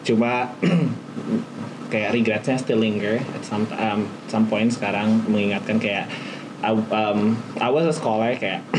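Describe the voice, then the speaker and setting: A man in his late twenties reflecting candidly and haltingly, switching between Indonesian and English. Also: lowish voice. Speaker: panel participant, entrepreneur. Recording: clear background noise.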